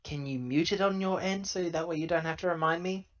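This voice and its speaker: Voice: mid-range voice, a little fast, muffled recording, lightly acted. A player voicing an irritable, sarcastic female company agent, grumbling with exasperation. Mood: exasperated.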